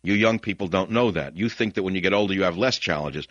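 An older man with a Bronx New York accent hosting a talk radio show, ranting combatively and swinging between muttering and shouting into a studio mic. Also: low-pitched, fast talker, no echo. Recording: dull, lo-fi, dry broadcast audio.

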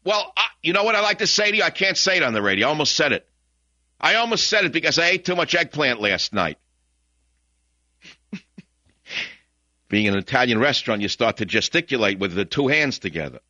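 Ranting older Bronx man, bright and biting. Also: medium pitch, sing-song, fairly quick, pauses often, dry recording. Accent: New York (Bronx). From the Bronx, New York. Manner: combative, ranting, swinging from muttering to shouting. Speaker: radio talk show host. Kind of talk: talk radio monologue. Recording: dull, lo-fi, dry broadcast audio.

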